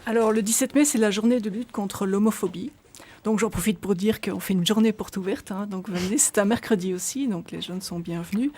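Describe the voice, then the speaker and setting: A woman's voice: mid-range voice, brisk, darkish tone. A middle-aged woman, guest on a radio interview, explaining at length with many hesitations and restarts. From Belgium. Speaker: association volunteer guest.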